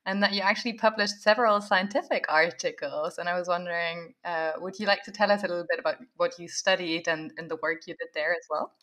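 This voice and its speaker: A woman's voice: lowish voice, steady tone, quick pace, few pauses, pushed and bright. A woman from Germany, hosting a podcast and asking long, chatty questions. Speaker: podcast host. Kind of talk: podcast interview.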